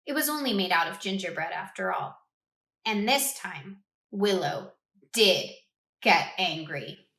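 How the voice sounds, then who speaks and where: A woman reading a picture book aloud to children in an expressive way, stopping to ask them questions. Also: on the low side, measured pace. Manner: expressive, asks listeners questions. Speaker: read-aloud host for children. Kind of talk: children's storybook read-aloud. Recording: roomy echo.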